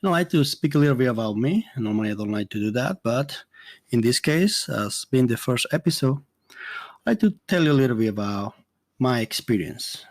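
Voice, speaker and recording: A middle-aged man with a strong Dominican Spanish accent talks straight into a close microphone with no room echo. His voice sits at an ordinary middle pitch. He speaks earnestly and often pauses, restarts and fills gaps with "uh.". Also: lively intonation. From Dominican Republic. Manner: earnest, halting, with many fillers and restarts. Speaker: immigration consultant and podcast host. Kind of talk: solo podcast monologue.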